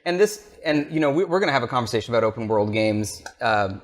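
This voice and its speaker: Podcast co-host, man, mid-pitch, loose rambling delivery, living room couch, room mic. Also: animated, fast. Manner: rambling, restarts, reads viewer comments aloud. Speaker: podcast co-host reading chat. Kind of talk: casual gaming chat.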